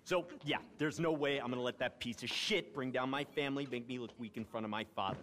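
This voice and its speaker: A man's voice: mid-range voice, quick pace, long reverb tail, a loud crowd, lightly acted. An actor playing a brash, entitled young American crime heir in a video game, talking in cocky salesman patter full of swearing. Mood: tense, scheming. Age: young adult. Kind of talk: video game cutscene acting.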